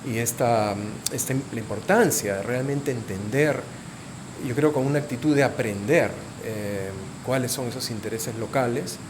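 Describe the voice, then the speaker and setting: Moderator: man, mid-pitched voice, summarizing with fillers, clear room hum behind. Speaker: panel moderator. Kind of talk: moderating a conference panel.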